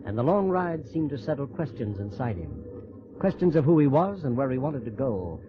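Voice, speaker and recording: A man narrating a scripted 1940s radio drama in a measured, literary storytelling way. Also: mid-range voice, very animated, noisy background. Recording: dull old-time radio recording. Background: music.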